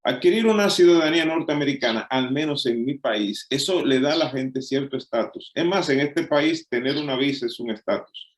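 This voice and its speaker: A man's voice: mid-range voice, moderate pace, hardly any pauses, echoey room. A middle-aged Dominican man with a Dominican accent, preaching a sermon in a conversational way, often restarting and filling with "eh". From Dominican Republic. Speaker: pastor.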